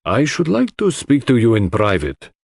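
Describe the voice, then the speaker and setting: A man voicing a grave, guarded doctor with a formal, measured manner in an audiobook mystery. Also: mid-range voice, wildly swooping pitch, vocal fry, slightly rough, no echo, studio quality, pitched above the performer's own voice, exaggerated voice. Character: grave, guarded male doctor, precise and authoritative. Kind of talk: dramatic audiobook mystery reading.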